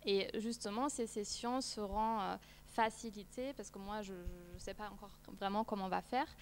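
A woman's voice: mid-range voice, moderate pace, slight background noise. A woman in her thirties, a non-native French speaker, presenting a research project at a seminar, with many fillers and restarts. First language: not French. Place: seminar room, seated at a long table.

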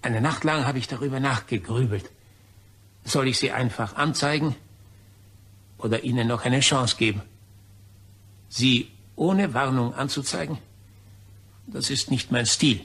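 A man's voice: mid-range voice, unhurried, long pauses, fairly bright tone, husky. An actor playing a stern, self-righteous office man in a crime radio play, speaking formally and insistently.

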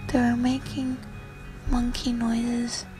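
A woman quietly recounting a personal memory in a slow, breathy half-whisper. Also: fairly high pitch, level tone, music in the background. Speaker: interviewee. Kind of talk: personal testimony.

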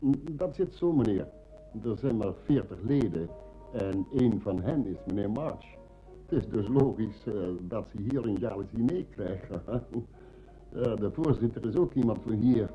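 A man's voice: lowish voice, animated, unhurried, faint music behind. A man playing a talkative, deferential hotel employee in a Dutch radio play. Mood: chatty, confiding. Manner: talkative, eager to inform. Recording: dull old radio play recording.

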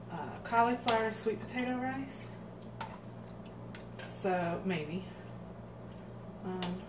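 Female home cooking vlogger, mid-pitched, unhurried and hesitant, casual demo delivery, noisy kitchen recording.

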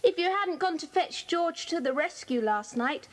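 A woman's voice: very high voice, animated, normal speed, a crowd in the background. A British woman playing a kindly, enthusiastic schoolchild in a children's audio drama, earnest and chirpy. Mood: fond.

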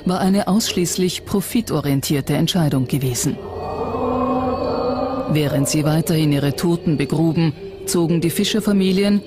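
A documentary narrator reading a scripted text in a factual documentary style. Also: mid-range voice. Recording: music bed under narration. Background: background music.

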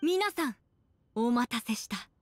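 A woman's voice: on the high side, expressive, unhurried, no room sound, faint music behind. A woman voicing a poised young female contest host in an anime game, making formal, composed announcements. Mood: formal, upbeat. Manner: crisp, composed, official.

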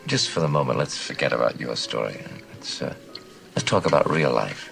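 An actor playing a suave, evasive middle-aged writer in a mystery film, courteous, smooth-talking and guarded. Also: male voice, extremely low pitch, lively intonation, normal speed, a bit bright, faint music behind, full character voice. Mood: smooth, probing. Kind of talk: television film mystery acting.

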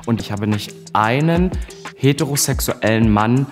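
Give the voice of a man in his twenties with a middling pitch. He talks openly about himself, expressive and emphatic, with faint music behind him. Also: a little slow. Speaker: podcaster and host. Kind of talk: candid personal conversation.

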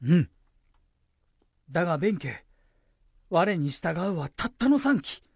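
A woman's voice: lowish voice, very animated, slow pace, breathy edge, no echo, full character voice. A woman plays an earnest young male samurai, a devoted younger brother in a radio play. The voice swings expressively up and down. The phrasing is formal and archaic, recorded dry and close. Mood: brooding. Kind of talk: Japanese radio drama acting.

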